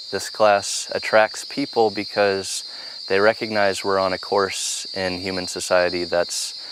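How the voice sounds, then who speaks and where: A man talks about his course in an earnest, measured way. His voice is very low and keeps a flat, even line. Steady outdoor noise sits clearly behind him. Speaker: permaculture co-instructor. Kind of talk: interview to camera.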